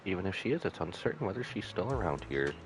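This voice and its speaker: A man's voice: deep voice, sing-song, fast talker, a little hiss or hum, low-quality recording. A man streaming a game live, casually commenting, reading dialogue aloud and muttering asides to himself.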